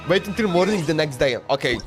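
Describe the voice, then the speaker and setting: High-pitched man casually streaming a game. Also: expressive, quick pace, soft background music. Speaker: game livestreamer. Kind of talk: casual live game reaction commentary.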